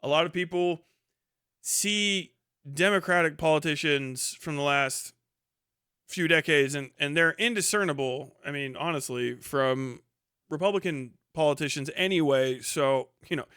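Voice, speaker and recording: A man's voice: fairly high pitch, measured pace, bright, forceful tone, smooth, no room sound, studio quality. A man doing casual, off-the-cuff live commentary on the news in a blunt, sardonic, rambling and argumentative way, with some swearing.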